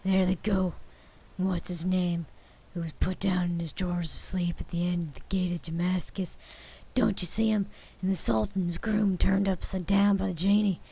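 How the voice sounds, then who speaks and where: A woman voicing an old miserly man overcome by childhood memories, with a Southern US accent, loud and breathy, slipping into whispers. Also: lowish voice, moderate pace. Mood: excited. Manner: excited, breaking into whispers. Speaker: reader.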